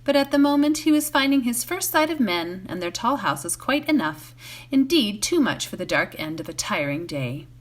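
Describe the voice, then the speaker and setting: A woman reading a novel aloud as a bedtime story, in an expressive narrating style. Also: a little high, normal speed. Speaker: volunteer story reader.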